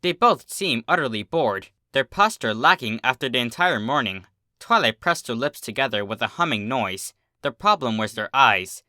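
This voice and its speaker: Bright-voiced man reading a story aloud. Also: medium pitch, expressive, moderate pace, no room sound, clean studio sound. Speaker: narrator of fan fiction readings, channel host. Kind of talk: expressive scripted story narration.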